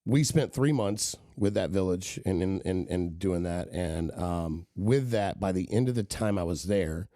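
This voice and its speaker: A man's voice: low voice, unhurried, hardly any pauses, dark tone, no echo, clean studio sound. A middle-aged man telling long, rambling stories as a podcast guest, with many "right?" tags. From Kenya and the southern US. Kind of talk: casual storytelling interview.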